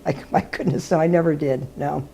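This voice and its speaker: A woman's voice: sits low, fairly even intonation, a little fast, gravelly, warm tone, slight background noise. A woman in her seventies who laughs easily and exclaims while answering interview questions. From Brooklyn. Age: 70s. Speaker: interviewee, retired teacher.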